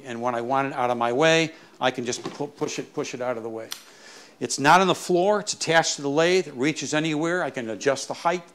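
A man's voice: mid-range voice, fairly bright tone. Man giving an informal workshop tour. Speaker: woodturner giving a shop tour. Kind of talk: informal explanatory walkthrough. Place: small garage workshop.

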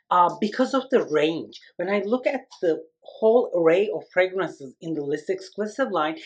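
A man in his thirties from Turkey, giving an animated, expressive perfume review to camera in a small room. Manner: animated, expressive, lively swings in pitch and volume. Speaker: fragrance reviewer. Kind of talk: casual video review. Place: small indoor room against a plain wall.

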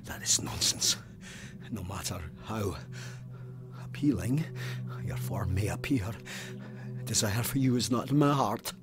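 An actor playing a pious, stubborn, haunted police sergeant in an audio drama, speaking in an intense, formal, demanding way. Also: male voice, on the low side, animated, a little slow, long pauses. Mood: defiant. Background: music.

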